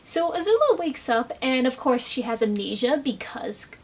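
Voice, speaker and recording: A woman giving a casual, animated commentary recap with sarcastic asides. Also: sits high, normal speed, breathy edge. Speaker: video commentator.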